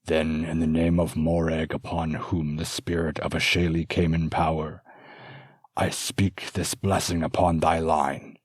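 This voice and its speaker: A solemn old master pronounces a blessing, slow and archaic, as a man with a very deep, husky voice puts him on. The sound is dry. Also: flat delivery, no room sound, heavily acted.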